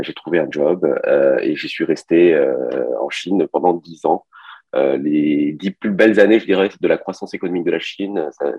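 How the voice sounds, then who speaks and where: A man in his forties speaks as a podcast guest, pausing often with fillers and restarts. His voice sits very low, with a gravelly, husky texture. The sound is dry and a little dull. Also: a little mellow, dry recording. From France (Paris). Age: 40s. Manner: hesitant, many fillers and restarts. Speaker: guest, company director. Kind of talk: relaxed interview conversation.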